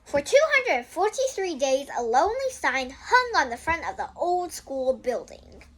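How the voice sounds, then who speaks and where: High, animated young girl reading aloud. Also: measured pace, slight background noise, low-quality recording. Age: child. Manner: explains and digresses often. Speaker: host reading a children's book aloud. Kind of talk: casual read-aloud with chatty asides to viewers.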